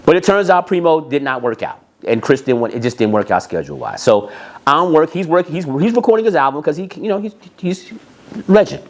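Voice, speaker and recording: A man telling anecdotes in an animated, emphatic way, repeating phrases and acting out bits of dialogue. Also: on the high side, a little fast. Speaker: storyteller, music industry executive.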